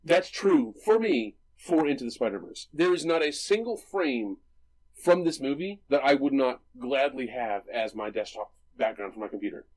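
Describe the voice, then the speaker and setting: A man's voice: mid-range voice, animated, moderate pace, darkish tone, rough recording. Chatty male movie podcaster. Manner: enthusiastic, explains with many restarts and "right?". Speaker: podcast co-host. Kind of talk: casual movie discussion.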